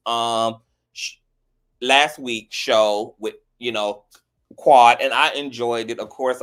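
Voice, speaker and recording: A man's voice: mid-range voice, a bit bright. A man hosting a casual livestream chat, sassy and chatty, calling everyone girl and honey. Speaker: host of a commentary livestream.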